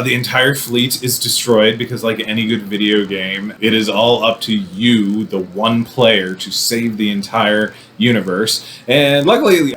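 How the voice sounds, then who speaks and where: Casting: male movie reviewer, effusive and rambling, mid-pitched voice, faint noise behind him. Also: a bit bright. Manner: effusive, digressive, with playful mock-combative asides. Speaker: film reviewer presenting a show. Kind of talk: casual, enthusiastic film commentary.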